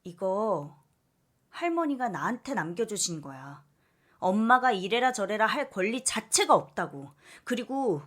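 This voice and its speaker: Woman acting bitter, defiant young daughter. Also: mid-range voice. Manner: cutting, forceful retorts.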